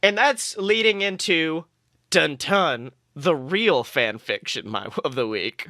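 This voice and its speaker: A man with a fairly high, bright voice tells a comic story as a show host. His delivery is playful and expressive, swinging widely in pitch, and the sound is dry. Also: slow pace, dry recording. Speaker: podcast host. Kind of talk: storytelling, reading comic fan fiction aloud.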